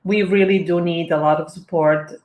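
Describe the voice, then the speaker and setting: A woman's voice: on the low side, fairly even intonation, normal speed, narrow, tinny audio. Woman in an echoey room. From Serbia. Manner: earnest, restarts often, upbeat. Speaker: guest, event organizer. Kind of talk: casual interview talk.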